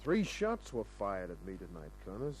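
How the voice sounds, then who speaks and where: Low-voiced wisecracking Australian private eye. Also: male voice, huge pitch swings, normal speed, darkish tone. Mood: guarded, dry. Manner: laconic, wisecracking. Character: wry, tough, flirtatious Sydney private investigator. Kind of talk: 1950s radio crime drama acting and first-person narration. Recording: noisy old radio recording with hum.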